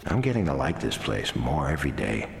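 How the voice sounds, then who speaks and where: A man's voice: extremely low pitch, sing-song, a little fast, exaggerated voice. An actor playing a witty, genial exiled screenwriter, droll and urbane, reading his letters in a radio drama. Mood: contented, wry. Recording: clear noise in the background.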